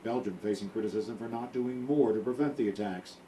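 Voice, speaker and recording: A man foreign correspondent voicing a news report in a measured reporter delivery. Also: fairly low pitch, warm tone. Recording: distant playback with light noise.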